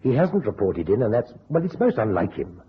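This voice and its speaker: An actor playing a businesslike, concerned agency boss in an old radio detective drama, direct and politely insistent. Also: male voice, medium pitch, sing-song, a little fast, a little hiss or hum, close to an everyday voice. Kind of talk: golden-age radio detective acting. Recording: muffled, rough old radio recording.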